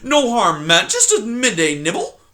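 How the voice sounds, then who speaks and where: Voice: mid-range voice, huge pitch swings, moderate pace, sharp tone, voice pushed up. A reader voicing a cowardly male fox who snivels and grovels, in a storybook reading. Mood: groveling.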